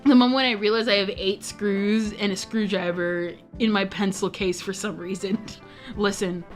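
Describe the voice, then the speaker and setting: A woman's voice: mid-range voice, steady tone, moderate pace, faint music behind. A young woman streamer chatting casually, rambling, self-interrupting and often giggling. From United States. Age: young adult.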